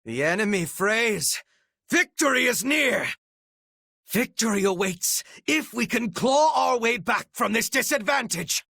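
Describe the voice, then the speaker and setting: An actor playing a cold, menacing and devoted retainer and strategist in a video game, with formal, archaic, theatrical diction and a raspy voice. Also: male voice, extremely high pitch, lively intonation, a little slow, pushed and bright, no echo, clean studio sound, heavily acted. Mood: rousing battle command.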